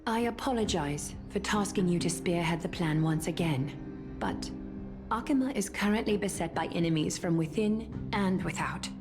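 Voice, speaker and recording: A woman performs a regal game ruler with a slightly low voice. She is formal, composed and authoritative, choosing each word with measure. Music plays clearly behind her. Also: natural voice. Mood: solemn resolve. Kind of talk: dramatic game voice acting.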